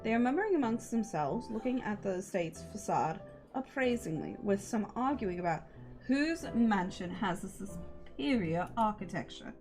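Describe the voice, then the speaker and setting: A woman's voice: mid-range voice, lively intonation, moderate pace, a little mellow. A woman livestreamer reading a visual novel aloud and chatting casually, with playful, sweary asides. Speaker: livestreamer, VTuber. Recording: music behind the voice.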